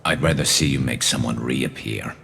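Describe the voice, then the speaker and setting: Garrus: gravelly vengeful alien. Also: male voice, background noise. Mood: cold. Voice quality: very deep, gravelly, flanged. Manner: grim, clipped and deliberate. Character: gravel-voiced alien soldier bent on revenge. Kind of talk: video game dialogue. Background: loud game score.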